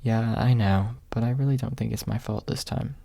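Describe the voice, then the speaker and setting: A man's voice: on the low side, normal speed, vocal fry, dark tone, no echo. A man voicing a flustered, protesting young male hero in a fantasy audiobook.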